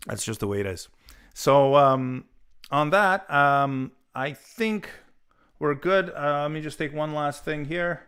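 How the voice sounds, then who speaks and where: A man's voice: a little high, takes it slowly, a bit bright, smooth. A man in his forties, born in Italy with Italian as his first language, chatting casually on a live stream with many fillers and restarts. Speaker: guitar channel host.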